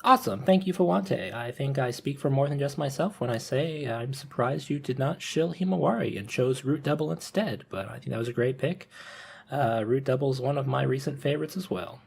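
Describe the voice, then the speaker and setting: A man with a medium-pitched voice hosts a podcast. He talks in a casual, conversational way, moving between topics as a host does. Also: a little fast, vocal fry, husky. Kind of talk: casual podcast chat about visual novels.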